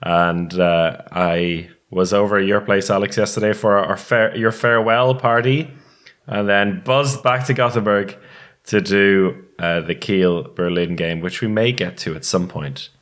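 A man's voice: lowish voice, fairly even intonation, a little slow, a bit bright. A man hosting a casual sports podcast, chatting with his co-hosts. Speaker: podcast host.

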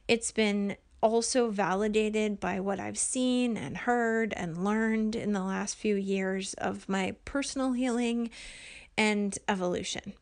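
A woman hosting her own podcast from home at night, talking in a soft, hushed voice that often sinks to a near whisper, earnest and gentle with frequent ums. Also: mid-range voice. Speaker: podcast host and life coach. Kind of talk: casual solo podcast talk.

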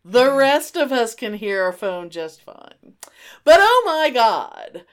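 A woman's voice: a little high, big pitch swings, takes it slowly. A middle-aged woman hosting a podcast in casual banter with a friend, emphatic and teasing, given to exclamations. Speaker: podcast host.

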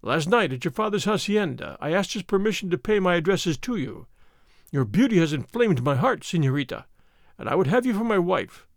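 A man's voice: sits high, sing-song, a little fast, vocal fry, no room sound, studio quality, exaggerated voice. A man voicing an arrogant, pushy army captain in an audiobook, suave and menacing, with a commanding, sneering manner.

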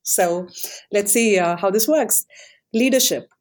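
A woman's voice: mid-range voice, a little slow, crowd noise. A woman hosting a podcast interview, speaking in a friendly, affirming way with some fillers. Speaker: podcast host.

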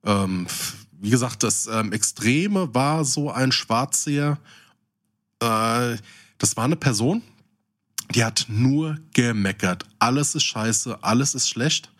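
A man's voice: mid-range voice, animated, slow pace, a bit bright. A man co-hosting a podcast, switching between casual chat and reading out short descriptions. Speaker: podcast co-host.

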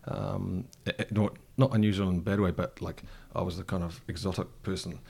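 A man's voice: low-pitched, steady tone, brisk, gravelly, darkish tone, slightly rough. A middle-aged man with a New Zealand accent, thoughtfully recalling his past as an interview guest, often restarting his sentences. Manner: thoughtful, frequent restarts and fillers. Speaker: interview guest, cafe co-founder. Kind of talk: reflective conversational interview.

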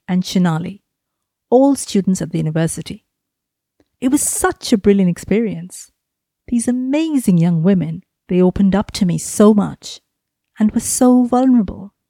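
Woman, podcast host, mellow dark tone, lowish voice, dry close sound. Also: dry recording. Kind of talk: scripted podcast intro and interview.

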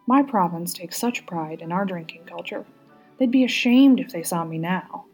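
A modest, wary male politician in a storybook, a little drunk and self-deprecating, as read by a woman. The voice is soft-edged and husky over faint music. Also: on the low side, expressive, moderate pace. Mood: rueful. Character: tipsy Canadian male politician, modest and cautious.

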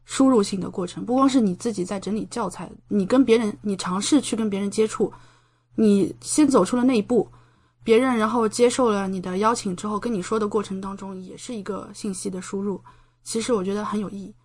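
Female podcast guest, young adult, mid-range voice, casual and chatty, expressive, enthusiastic delivery. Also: medium pitch, a little fast. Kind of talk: casual chat.

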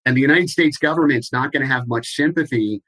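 A man answering interview questions in a measured, explanatory way, with some restarts. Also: medium pitch, sharp tone. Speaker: guest, compliance expert.

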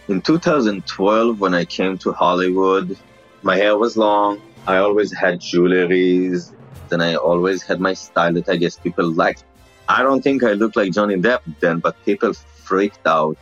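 A man with an Israeli accent and a deep, mumbling voice, telling stories bluntly and casually in an interview, with curse words. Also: lo-fi sound. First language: Hebrew. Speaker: interview guest, celebrity impersonator.

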